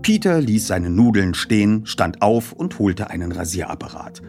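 A man reading a youth detective story as a scripted audiobook narration, acting it out in an animated way. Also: lowish voice, normal speed, soft background music. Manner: animated, acts out the dialogue.

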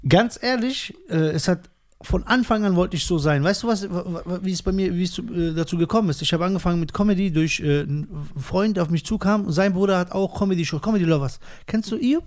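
Brief: man in his thirties, fast high animated comedian, laughing storyteller. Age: 30s. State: sore throat. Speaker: guest comedian. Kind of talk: casual podcast banter.

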